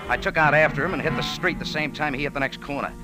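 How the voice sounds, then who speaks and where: An actor playing a tough, slangy, sardonic American café owner and adventurer in a 1940s hard-boiled radio drama. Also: male voice, fairly high pitch, fast talker, lightly acted, rough recording. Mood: brooding, wry. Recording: old radio drama with prominent music.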